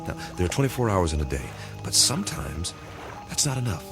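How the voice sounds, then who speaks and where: A very deep, commanding spaceship captain, speaks in a measured, musing way. He is a steady leader in a science fiction radio play, with clear music playing behind him. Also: heavily acted. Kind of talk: dramatic science fiction audio drama.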